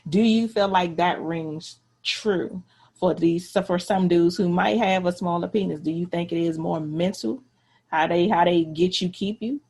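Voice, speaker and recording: A woman with a slightly low voice and plenty of vocal fry hosts a frank radio chat. She is conversational and slangy, putting questions casually and backing up what her guest says. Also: unhurried, a little mellow. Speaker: radio talk show host.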